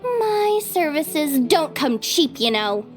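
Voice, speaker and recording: A cocky, street-smart young bounty hunter girl for an anime game, high and very expressive. She talks in breezy, boastful slang and takes her time, with music playing behind her. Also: slow pace, exaggerated voice. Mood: indignant, boastful. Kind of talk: English anime game voice acting.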